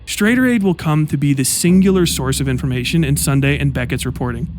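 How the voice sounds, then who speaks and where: A man giving scripted commentary narration, walking through a timeline in a measured, explaining manner. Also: on the high side, darkish tone, a little hiss or hum.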